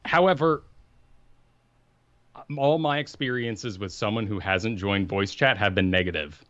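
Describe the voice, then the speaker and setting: A man co-hosting a gaming podcast, talking energetically and assertively in a deep voice into a studio microphone. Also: a little slow, fairly bright tone, dry recording, muffled recording. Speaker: podcast co-host. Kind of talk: casual gaming podcast talk.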